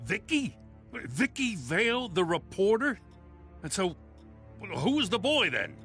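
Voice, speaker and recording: A man playing an earnest police lieutenant in a video game, urgent and emphatic, with a creaky edge. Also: high-pitched, wildly swooping pitch, measured pace, fairly bright tone, full character voice. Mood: shocked. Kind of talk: dramatic video game acting. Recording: faint music under the voice.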